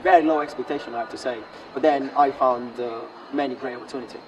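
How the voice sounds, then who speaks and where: A man, speaking relaxed with fillers. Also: mid-range voice, fast talker, muffled recording. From Italy. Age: 20s. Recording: distant mic with music behind.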